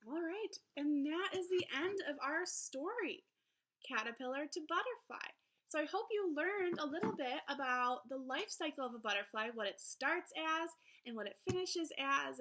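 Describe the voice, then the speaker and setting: A woman teacher reading a children's book aloud in an expressive way, pausing to explain things simply to young listeners. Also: high voice, noisy background. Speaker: elementary school teacher.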